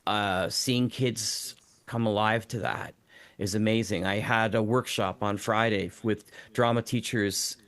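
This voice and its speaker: A man's voice: fairly low pitch, fairly even intonation, a little slow, fairly bright tone. A man who teaches drama, speaking reflectively and informally in a panel discussion.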